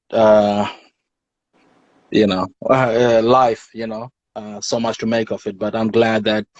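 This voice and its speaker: A man from Zimbabwe, a poet guest on an interview show, speaking reflectively and often pausing to search for the right words. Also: on the low side, a little mellow, dry recording, highs cut off. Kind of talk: casual interview talk.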